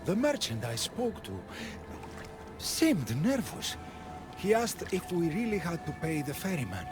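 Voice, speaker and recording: A man's voice: high-pitched, sing-song, a little slow, over music. An actor playing a fussy, peevish dead man in a video game, haughty and complaining. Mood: grudging recall. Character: fussy, indignant robbed dead man in the underworld. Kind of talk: video game dialogue acting.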